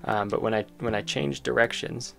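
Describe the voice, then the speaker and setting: Low-voiced man with gravelly fry. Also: steady tone, normal speed, fairly bright tone. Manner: informal, enthusiastic, thinking aloud. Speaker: game developer presenting own project. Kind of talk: casual screen-recorded demo commentary. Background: music behind the voice.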